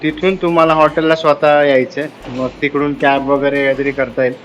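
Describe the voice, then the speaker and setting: Slightly high-pitched, even-toned man vlogging. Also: brisk, slight background noise. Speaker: travel vlogger. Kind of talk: casual vlog chat. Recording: dull lo-fi camera audio.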